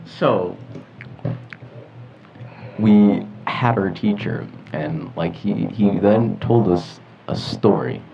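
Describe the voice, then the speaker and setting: Teenage male podcast co-host, low voice, slangy and halting storytelling, dull recording under loud music. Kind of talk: casual storytelling chat.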